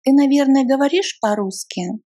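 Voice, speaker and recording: A woman teaching beginner phrases in a slow, deliberate drill, saying each phrase in full and then word by word. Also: sits high, mellow. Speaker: language teacher.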